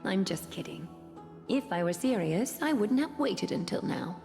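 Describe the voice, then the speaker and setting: Game role: composed, melancholic guardian, calm, creaky, breathy, voice ringing in a long hall. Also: female voice, medium pitch, moderate pace. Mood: cool, teasing menace. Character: composed, protective young woman, quietly melancholic and menacing. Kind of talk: video game voice acting. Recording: long reverb, faint music behind.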